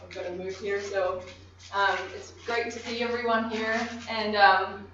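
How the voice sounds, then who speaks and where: A woman with a New Zealand accent giving a technical conference presentation, measured and explanatory with frequent ums. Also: medium pitch, fairly even intonation. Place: conference room. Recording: echoey room, distant mic, dull.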